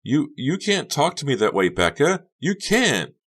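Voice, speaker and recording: A wounded, tearful teenage girl, as a man puts her on, pleading in a whiny, wide-eyed tone. Her voice is pushed well up from his low natural register. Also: very animated, measured pace, fairly bright tone, heavily acted. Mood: hurt. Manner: whiny, pleading, innocent-sounding.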